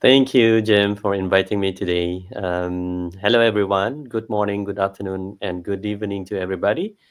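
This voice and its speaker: Polite Indonesian-born man, low voice. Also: steady tone. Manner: polite, measured, a few "you know"s. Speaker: guest. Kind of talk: casual panel chat.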